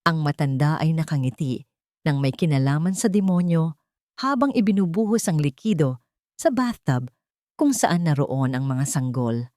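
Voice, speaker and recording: A woman's voice: low-pitched, lively intonation, moderate pace, mellow, no room sound, clean studio sound. A woman narrating a scripted audiobook drama in a dramatic storytelling style.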